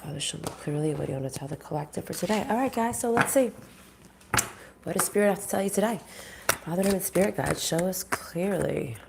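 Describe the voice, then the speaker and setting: Woman, low-pitched, nasal and stuffed up from a cold, chatty live card reader, clear background noise. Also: fairly quick. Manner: chatty, stops and restarts, greets viewers by name. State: sick with a sinus infection. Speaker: spiritual reader and channel host. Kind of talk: live-streamed oracle card reading.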